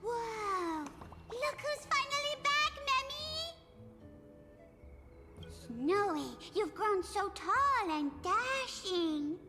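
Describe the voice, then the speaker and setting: A woman voicing a small, excitable fairy in a video game, talking in a bubbly, childlike way. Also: extremely high pitch, extremely slow, heavily acted. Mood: delighted. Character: tiny excitable fairy of the maze. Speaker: game character. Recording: reverberant game audio with loud music. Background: game music.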